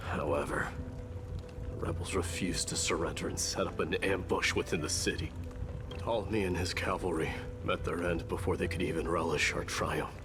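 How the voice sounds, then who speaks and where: A man playing a solemn warrior prince in a video game, recounting fallen comrades in a measured, dramatic way. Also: low-pitched, background noise, close to an everyday voice. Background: music.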